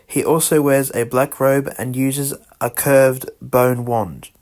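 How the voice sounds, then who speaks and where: A man narrating an amateur scripted emergency broadcast, halting and correcting himself as he reads. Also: medium pitch, flat delivery, a little mellow. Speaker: radio emergency announcer in a fan-made scenario.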